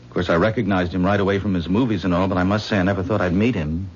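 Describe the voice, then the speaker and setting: A man's voice: low-pitched, fairly even intonation, very fast, close to an everyday voice. An actor playing a modest, earnest man who talks himself down, in a 1970s radio drama. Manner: self-deprecating, earnest. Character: modest, admiring kind man, new friend. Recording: dull old radio broadcast recording with faint hiss.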